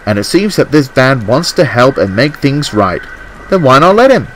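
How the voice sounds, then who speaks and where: A man plays a kind-hearted steam engine in a children's railway tale. The voice is eager and well-meaning, with very animated pitch. Music runs underneath. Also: normal speed, low-quality recording. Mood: resolute. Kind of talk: children's storybook character voice.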